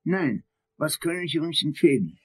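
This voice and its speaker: Husky, fussy self-important male lawyer. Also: on the high side, expressive, moderate pace, darkish tone. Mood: fussy, pompous. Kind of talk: crime radio play dialogue.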